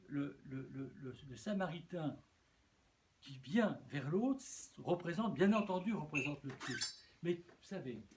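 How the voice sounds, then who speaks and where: A man's voice: on the high side, darkish tone. A man preaching a sermon as a bishop, earnest, often repeating words and restarting. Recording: echoey room with faint noise.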